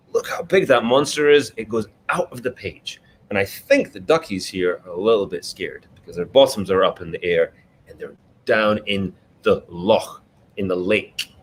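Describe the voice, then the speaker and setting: A man's voice: mid-range voice, slightly rough. A man reading a children's book aloud as its author, expressively, with simple explanatory asides to young listeners. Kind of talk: read-aloud storytelling for children, interactive.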